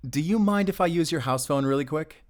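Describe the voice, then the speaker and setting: A man's voice: on the high side, a little fast, natural voice. A man acting in an audio roleplay as a friendly, awkward neighbor and father, apologetic and rambling in a naturalistic way. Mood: mildly distracted. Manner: flustered, apologetic, rambling, nervous chuckles.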